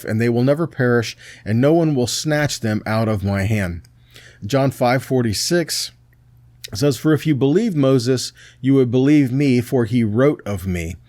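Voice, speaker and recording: Animated man preaching, very dry sound. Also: medium pitch, no room sound. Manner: didactic, checks in with short tag questions. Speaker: preacher teaching a Bible study. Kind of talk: sermon, scripture teaching with readings.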